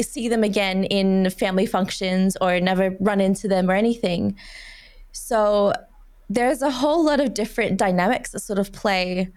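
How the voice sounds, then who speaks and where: Woman, podcast host, casual chat, run-on sentences, fillers, mid pitch. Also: a little mellow.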